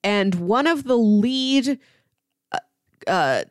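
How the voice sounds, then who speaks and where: A woman's voice: a little high, lively intonation, extremely slow, no room sound. A woman co-hosting a podcast, talking casually and conversationally about stories she has read and watched. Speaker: podcast co-host. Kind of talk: casual comic and film discussion.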